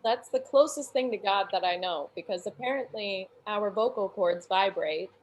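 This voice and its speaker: A woman's voice: mid-range voice, moderate pace, slight background noise, low-quality recording. A woman chatting on a video call, playful and talkative. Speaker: party guest. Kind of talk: casual video-call chat.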